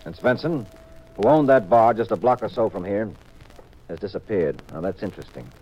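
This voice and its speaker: A cool, wry private sleuth played by a man in a fifties radio mystery. His voice is low and unruffled, heard on a muffled vintage broadcast recording. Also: lively intonation, fairly quick, mellow, slight background noise, close to an everyday voice. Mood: determined, brisk. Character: cool, determined, wry male amateur detective husband. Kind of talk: 1950s radio drama acting. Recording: dull 1950s radio recording with music.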